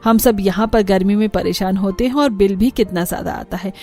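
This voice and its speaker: A woman's voice: mid-range voice, brisk, darkish tone, soft background music, lightly acted. A woman voicing a scheming, thrifty middle-aged mother-in-law in a cartoon story, coaxing and breezily dismissive. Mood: gleeful scheming. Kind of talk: cartoon story character dialogue.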